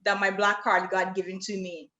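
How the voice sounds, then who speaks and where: A woman from Nigeria with a partly Americanized Nigerian accent, a podcast guest sharing personal stories in an open, animated way. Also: medium pitch, moderate pace, background noise. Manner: open, storytelling, animated when recounting. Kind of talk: casual personal conversation.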